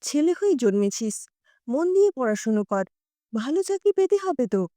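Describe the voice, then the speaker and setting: Woman as a high-voiced, nagging, anxious mother. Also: big pitch swings, normal speed, dark tone, dry recording. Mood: scolding. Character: scolding, anxious mother nagging her son.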